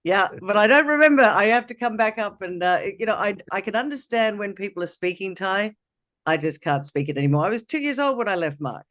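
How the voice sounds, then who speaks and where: High-voiced upbeat male podcast host. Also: lively intonation, fairly quick, a bit bright. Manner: upbeat, chatty introductions. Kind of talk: interview.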